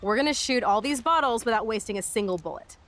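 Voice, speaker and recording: A young American woman playing a brash, excitable, rebellious punk teenage girl in a video game, with cocky, slangy delivery. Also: on the high side, quick pace. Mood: cocky, teasing. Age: young adult.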